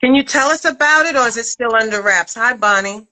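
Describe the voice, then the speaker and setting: A woman's voice: fairly high pitch, a little fast, sharp tone, poor audio. A woman interviewing casually as an acting coach, with encouraging, affirming interjections.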